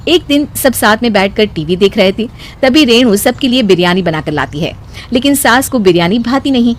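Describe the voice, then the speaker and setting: Slightly high-voiced woman narrating a story. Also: expressive, normal speed.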